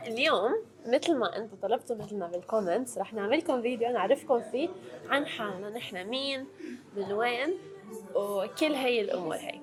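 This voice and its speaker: A woman's voice: fairly high pitch, lively intonation, fast, over music. A woman in her early twenties with a Levantine Arabic accent, chatting casually to camera as a vlog host and slipping in English words. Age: early 20s.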